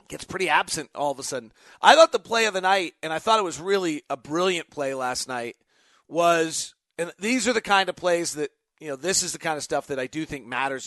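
A man's voice: fairly high pitch, sharp tone, no room sound. An American man hosting live sports commentary, with an emphatic, conversational announcer's delivery. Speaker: sports podcast host; radio play-by-play announcer.

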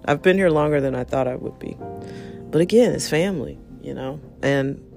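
A hoarse voice, worn down and close to giving out, rants in a blunt and exasperated way. The talk is an unscripted monologue addressed straight to the listener. Soft music runs quietly underneath. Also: mid-range voice, moderate pace. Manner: frustrated, blunt, ranting. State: hoarse, losing voice. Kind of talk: casual spoken monologue.

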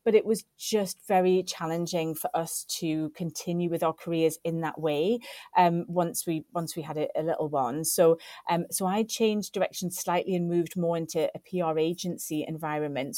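A woman's voice: low-pitched, warm tone, no echo, studio quality. A woman in her forties from Northeast England, a podcast guest telling her career story in an effusive, upbeat way. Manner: effusive, upbeat, piles on superlatives. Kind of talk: casual interview storytelling.

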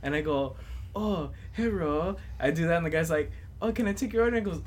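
A man's voice: a little high, fairly quick, slight background noise. A man hosting a casual, profane chat, rambling through stories with many fillers. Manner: rambling, filler-heavy storytelling.

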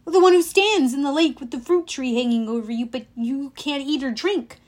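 A woman's voice: high voice, normal speed, darkish tone, lightly acted. A woman voicing an earnest, defiant and loyal schoolboy hero in a storybook reading. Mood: curious.

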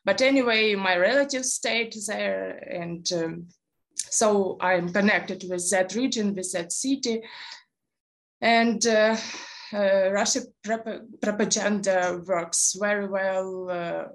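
Young Ukrainian-accented woman guest; slow, hesitant delivery full of fillers. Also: mid-range voice. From eastern Ukraine. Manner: hesitant, many pauses and fillers. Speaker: guest speaker, Fulbright coordinator. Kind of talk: interview conversation.

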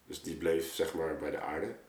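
Male podcast guest, low register, halting and informal storyteller, echoing room sound. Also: steady tone, a little mellow. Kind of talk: casual, reflective storytelling in an interview. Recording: roomy, echoing space.

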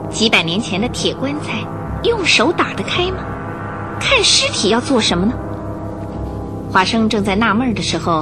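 A woman narrator telling a scripted radio drama story in an expressive, suspenseful style. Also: medium pitch. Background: music under the voice.

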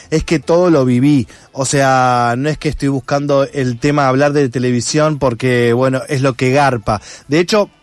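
An Argentine man giving conversational interview answers, reflective and halting as he rephrases his thoughts. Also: on the high side, darkish tone, no room sound. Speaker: interviewed actor and content creator.

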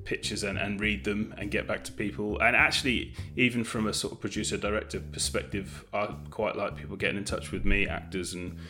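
Guy with lowish voice being interviewed, kinda hesitant n modest, casual chat, bit of music behind. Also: fairly even intonation, brisk, hardly any pauses, sharp tone. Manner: hesitant, self-effacing, with trailing hedges. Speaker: guest, audio drama director and producer.

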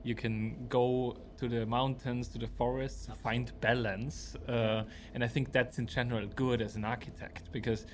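A man's voice: mid-range voice, level tone, moderate pace, few pauses, a little hiss or hum. A man with an Austrian accent and German as his first language, talking reflectively as an architect being interviewed.

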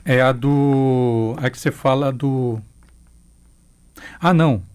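A man's voice: mid-range voice, slow pace, a little mellow, clear, resonant tone. A man, chatting casually as an interviewer.

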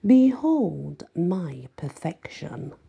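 A woman reading an audiobook, voicing a smug, unbalanced ancient male mummy with slow theatrical delivery. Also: fairly low pitch, very animated, gravelly, warm tone, husky, dull audio, exaggerated voice. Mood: smug, boastful. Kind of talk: audiobook character voice.

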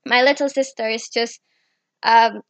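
A woman's voice: fairly high pitch, fairly even intonation, unhurried, dry recording. A teenage girl hosting a chat, often stuttering and restarting, a native Indonesian speaker. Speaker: podcast host. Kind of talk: casual chat.

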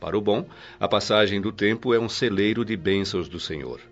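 A Brazilian actor playing a wise, patient spiritual mentor in a radio drama, teaching in a measured, formal and solemn way. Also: male voice, on the low side, dull audio, faint music behind. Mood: solemn, instructive.

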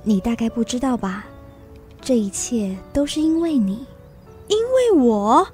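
An earnest society lady in a literary radio drama. Her high voice is theatrical and expressive, over faint music. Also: a little slow. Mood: earnest, reflective.

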